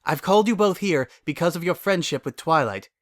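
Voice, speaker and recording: A commanding princess pony , voiced by a male reader, speaks formally and gravely. The pitch is lifted, and the manner is stern and regal, as in a storybook reading. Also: lively intonation, fairly quick, dry recording, studio quality, lightly acted. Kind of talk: dramatic fan fiction reading.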